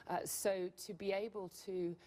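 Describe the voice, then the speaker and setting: A woman speaking as a guest scientist in a broadcast interview, explaining technical work in a measured, slightly hesitant way. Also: medium pitch, fairly even intonation, mellow. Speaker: company scientist as guest expert.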